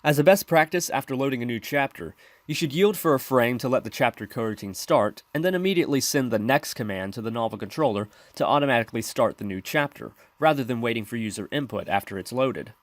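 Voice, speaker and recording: A man's voice: mid-range voice, animated, fast talker, dry recording. Male tutorial narrator, instructional scripted read, dry close-miked sound. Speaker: tutorial host.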